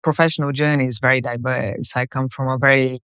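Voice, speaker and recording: A woman with a Bulgarian accent speaks as an interview guest, telling her story conversationally. Her voice is smooth and clear, recorded very dry. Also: rumbling low, fairly even intonation, a little fast, a bit bright, no echo. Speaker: podcast guest, company co-founder.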